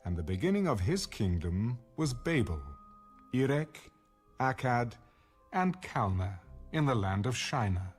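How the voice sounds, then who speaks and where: A man reading scripture as an audiobook narrator in a measured, solemn manner. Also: medium pitch, sing-song, soft background music.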